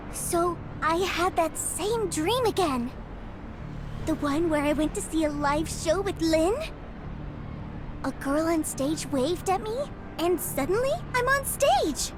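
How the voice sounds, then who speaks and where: A woman's voice: very high-pitched, lively intonation, slow, pauses often, noisy background, heavily acted. A woman voicing a shy, dreamy young girl in a video game, with a cute, childlike manner. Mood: dreamy, excited.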